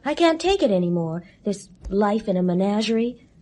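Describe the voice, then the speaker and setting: A woman's voice: mid-range voice, very animated, moderate pace, dark tone. A bitter, despairing woman. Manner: bitter, emotional. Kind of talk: 1970s radio drama acting. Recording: noisy old radio recording.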